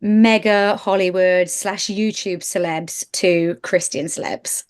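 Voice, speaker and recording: A woman's voice: on the low side, fairly even intonation, takes it slowly, smooth. A British woman co-hosting a podcast in casual, informal conversation, using plenty of slang and filler "like". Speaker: podcast co-host.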